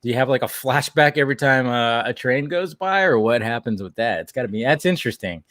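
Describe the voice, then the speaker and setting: A man's voice: mid-range voice, expressive, a little fast, a bit bright, no echo. Casual man chatting, close dry sound. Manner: informal, swears, rambling retelling with acted-out quotes. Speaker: podcast co-host recapping cartoon episodes. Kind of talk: casual chat, episode recap.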